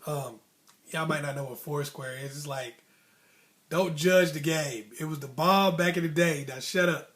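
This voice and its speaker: A man's voice: on the high side, moderate pace. An American man telling a personal story casually, in slangy speech, acting out bits of dialogue as he goes. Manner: animated slangy talk, acting out childhood dialogue. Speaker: storyteller host.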